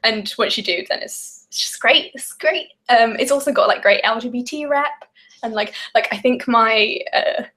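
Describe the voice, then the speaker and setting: A woman's voice: a little high, moderate pace, bright, forceful tone. A young woman from the English Midlands with a Midlands accent, chatting casually and rambling on a live stream while baking, with lots of fillers and giggles. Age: young adult. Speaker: vlogger.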